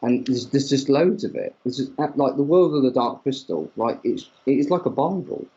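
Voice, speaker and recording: Rambling enthusiastic man, husky lo-fi mic. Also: mid-range voice, normal speed, warm tone. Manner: enthusiastic, rambling, stumbling restarts. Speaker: podcast co-host. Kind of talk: casual chat between fans. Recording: dull lo-fi mic.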